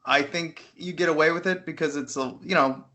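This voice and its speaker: Bright-voiced man chatting on a podcast. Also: a little high, fairly quick. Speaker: podcast co-host reviewing films. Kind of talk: casual film discussion. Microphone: internet voice call. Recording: Skype call audio.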